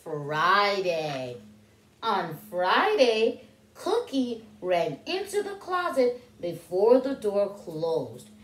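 A woman in her thirties reads a picture book to small children at an unhurried pace. Her pitch swings widely as she poses questions and draws out key words, like a teacher at story time. The room adds a noticeable echo. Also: big pitch swings. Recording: roomy indoor echo.

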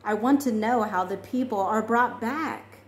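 A woman's voice: on the high side, measured pace, close to an everyday voice. A woman voicing a curious, eager and confused young boy in a storybook. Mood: eager, pleading. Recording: echoey room with faint noise.

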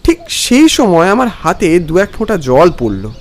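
A man narrating a scripted first-person story in a dramatic, expressive way. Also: high voice, normal speed.

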